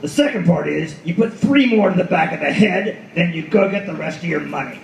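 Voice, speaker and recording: A hardboiled, cynical private eye acted by a man tells his noir story with swooping, animated pitch. His voice sits a bit high and he swears with weary sardonic bite. The roomy live recording carries faint noise. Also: brisk, vocal fry. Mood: cold, menacing. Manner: world-weary, profane, sardonic. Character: hardboiled cynical male detective at the world's end. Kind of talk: noir radio play, first-person narration. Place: live reading in a roomy venue.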